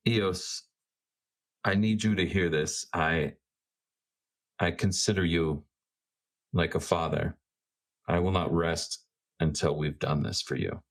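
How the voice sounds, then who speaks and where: A man playing a tabletop game, improvising casually in his own voice. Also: low-pitched.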